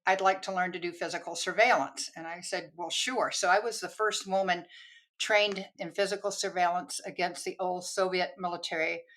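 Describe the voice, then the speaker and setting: An older woman, a retired army counterintelligence agent, telling stories from her career as a guest on a podcast. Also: lowish voice. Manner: lively, storytelling, quick to laugh.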